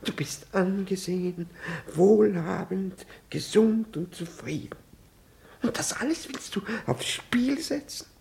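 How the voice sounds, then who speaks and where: An actor playing a jealous, middle-aged husband in a radio play, pompous and evasive, stammering with theatrical indignation. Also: male voice, sits high, slow, gravelly, darkish tone, heavily acted. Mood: flustered indignation. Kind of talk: 1960s German radio play acting.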